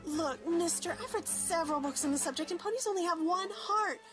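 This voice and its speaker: A woman's voice: very high voice, fairly quick, music in the background. A woman voicing an earnest, bookish young female pony in a fan audio drama, insistent and easily flustered. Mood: insistent, exasperated. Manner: insistent, stammering when flustered.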